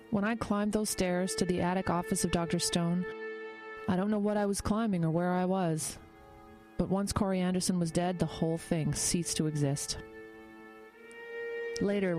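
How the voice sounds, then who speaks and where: A woman acting a frightened, compassionate investigator in an audio horror drama, earnest and halting under strain. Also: fairly low pitch, mellow, close to an everyday voice. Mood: somber narrating.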